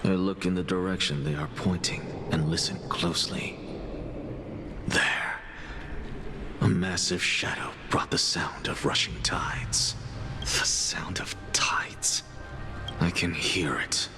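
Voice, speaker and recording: Deep, slow, brooding male wanderer. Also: steady tone. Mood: brooding, grave. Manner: slow, introspective. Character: weary, brooding male wanderer recounting a dream. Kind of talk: dramatic first-person game narration. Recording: music under the voice.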